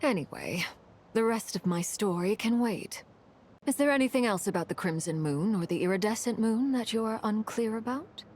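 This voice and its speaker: A woman playing a composed, commanding harbinger of cursed fire in a video game, speaking in a measured, solemn, formal way. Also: medium pitch, expressive, a little mellow, slight background noise, close to an everyday voice. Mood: measured, explanatory. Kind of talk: dramatic video game voice acting.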